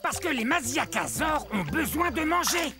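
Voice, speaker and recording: A woman voicing an excitable, showy meat-eating dinosaur in a children's educational cartoon, theatrically addressing the viewer. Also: high voice, moderate pace. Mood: excited, cheeky. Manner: theatrical, exclaiming, talking to viewer. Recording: music behind the voice.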